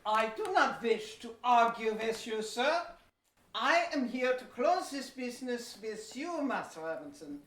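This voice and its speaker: A woman's voice: a little high, big pitch swings, a little slow, far from the mic. A woman playing a pushy, indignant Dutch merchant man in an amateur historical audio drama. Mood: insistent, indignant. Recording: echoey room.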